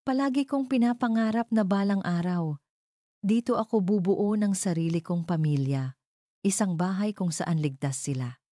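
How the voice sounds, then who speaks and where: A woman's voice: mid-range voice, normal speed, warm tone, no room sound, clean studio sound, voice pushed up, lightly acted. Woman voicing a tender, earnest man in love. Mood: hopeful.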